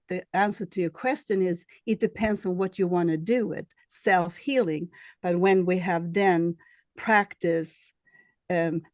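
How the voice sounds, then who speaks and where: A woman with a Swedish accent, a healer being interviewed, who speaks in a halting way and searches for her words. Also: on the low side, a little mellow, dry recording. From Sweden. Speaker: guest, shamanic healer and astrologer. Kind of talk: casual interview.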